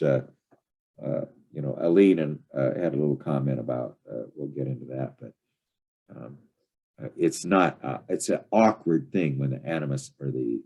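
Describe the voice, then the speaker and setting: An older man lectures informally to a study group in a dark, mellow, husky voice. His intonation moves around a lot. He speaks haltingly, wandering off and leaving sentences unfinished. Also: extremely low pitch. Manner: halting, digressive delivery with trailing, unfinished sentences. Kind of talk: informal lecture, reading and commenting on a text.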